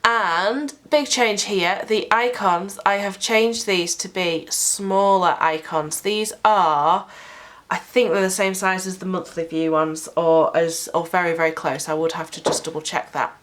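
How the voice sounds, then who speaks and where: A British woman with a sniffly head cold talks through her planner stickers piece by piece in a relaxed, chatty walkthrough. Her voice sits a little low for a woman, and she keeps a friendly, explaining tone. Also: a little slow. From United Kingdom. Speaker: sticker shop owner. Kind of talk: product walkthrough vlog.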